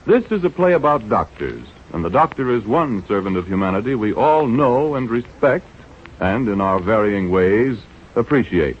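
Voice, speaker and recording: Male radio announcer, very lively intonation, polished 1930s sales delivery, dull old recording. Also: on the low side, normal speed, slight background noise.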